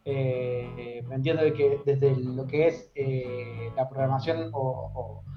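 A man's voice: mid-range voice, steady tone, slow. Argentine man in a dull, echoey recording. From Córdoba, Argentina. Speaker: guest, software developer. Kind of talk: casual interview, telling anecdotes. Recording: dull, echoey sound with music behind. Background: guitar music.

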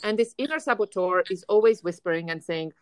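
A woman podcast host with a Russian-sounding accent, talking in an energetic, emphatic way and often repeating words as she starts a thought. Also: medium pitch, a little fast, clean tone, no room sound. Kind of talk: casual conversation.

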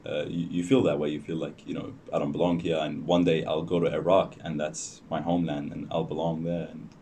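Young man, low dark voice, halting guest; distant mic, faint noise. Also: level tone. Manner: hesitant, many ums and restarts. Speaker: podcast guest. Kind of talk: casual interview chat.

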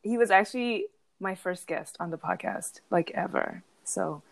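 A woman's voice: fairly low pitch, normal speed, creaky. A young woman from Zimbabwe hosting a podcast in casual chat, chatty and laughing often as she acts out what people said. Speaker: podcast host.

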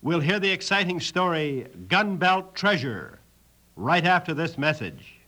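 High-pitched, excited American male radio announcer. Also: measured pace. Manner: folksy, excited. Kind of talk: 1950s radio show announcing. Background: music.

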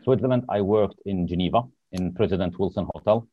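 Brief: very low-pitched man from Lebanon, guest on a call, hunts for words, dry lo-fi sound. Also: a little fast, dry recording. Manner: searches for words, hesitant. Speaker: podcast guest. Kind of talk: casual interview chat.

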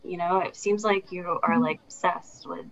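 A woman's voice: on the low side, fairly even intonation, moderate pace, vocal fry, fairly bright tone. A woman interviewing an artist, asking questions in a casual, encouraging way. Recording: dull lo-fi sound.

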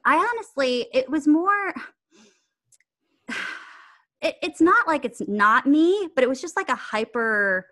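A woman's voice: sits high, expressive, takes it slowly, long pauses, pushed and bright. A woman guest on a podcast, talking earnestly and reflectively in casual conversation. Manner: earnest, reflective, with bursts of laughter. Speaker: podcast guest, acting coach.

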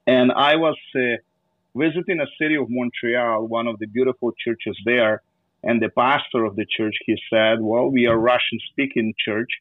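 A man's voice: mid-range voice, fairly even intonation, no echo. Ukrainian-accented man on a tinny line. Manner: halting, many fillers, laughs often. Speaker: guest youth pastor. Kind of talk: casual podcast interview.

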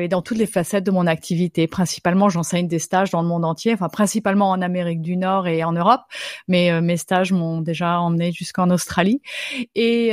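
A woman's voice: fairly low pitch, smooth, no room sound, studio quality. A woman from France, a photographer guest, chatting casually in an interview with frequent restarts and easy laughter. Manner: lively, hesitant restarts, laughs easily.

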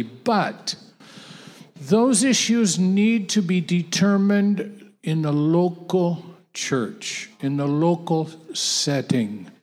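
Emphatic preacher in a reverberant hall. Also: male voice, fairly high pitch. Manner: emphatic, repetitive, with halting restarts. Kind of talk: sermon to a conference audience. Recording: long hall reverb, voice off-mic.

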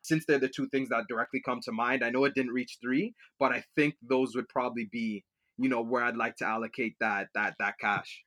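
A man's voice: medium pitch, steady tone, brisk, sharp tone. A young man from Liberia, raised in Kenya and Canada, answering interview questions in a rambling way, with many fillers and restarts. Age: young adult. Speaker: student guest.

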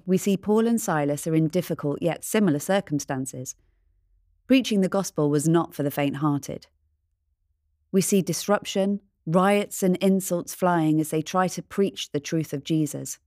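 A woman reading a scripted devotional in a measured, reflective manner. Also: lowish voice, warm tone, dry recording, clean studio sound. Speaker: devotional reader.

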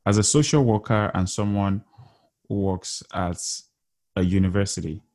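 A man's voice: on the low side, moderate pace, darkish tone. A man interviewing a guest, asking long, earnest, rambling questions.

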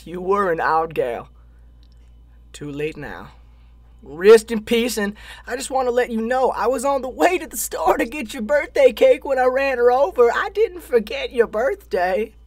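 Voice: mid-range voice, animated, moderate pace, a little mellow, dry recording, lightly acted. A performer playing a hapless, defensive country man in a comedic radio play, folksy and exasperated. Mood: sheepish, pleading.

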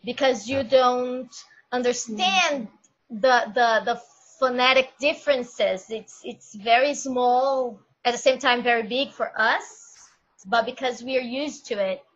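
A woman's voice: a little high, unhurried, fairly bright tone, highs cut off. A woman with a Brazilian Portuguese accent, speaking English in a casual discussion and thinking aloud as she searches for words.

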